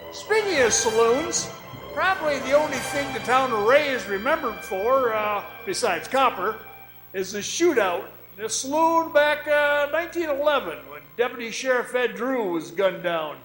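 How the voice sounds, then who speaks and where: High-voiced, haunted old-time miner played by a man. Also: a bit bright, reverberant, low-quality recording. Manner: folksy, halting, conversational, with ominous pauses. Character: weary, haunted former copper mine guide telling his story. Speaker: radio drama actor. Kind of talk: radio drama monologue, folksy storytelling. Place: performing arts center stage.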